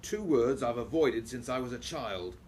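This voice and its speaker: An actor playing a proper, protective Victorian gentleman professor in a radio play, speaking formally and earnestly. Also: mid-range voice, animated, normal speed, a little hiss or hum, lightly acted. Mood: fussy, exasperated. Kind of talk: steampunk radio play acting.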